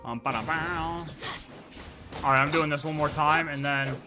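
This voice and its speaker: A man's voice: a little high, moderate pace. A man giving casual, rambling livestream gameplay commentary, often questioning and answering himself. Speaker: game streamer. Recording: game music behind voice.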